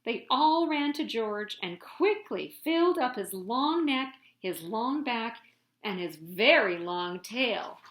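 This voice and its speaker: Expressive, high-voiced woman storyteller. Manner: dramatic, deliberate emphasis. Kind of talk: expressive children's picture book read-aloud. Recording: echoey room.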